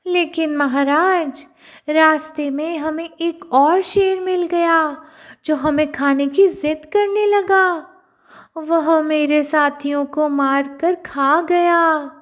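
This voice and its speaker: A woman's voice: high voice, slow pace, voice pushed up. A woman voicing a small, clever male rabbit who pleads humbly in a children's story. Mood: pleading.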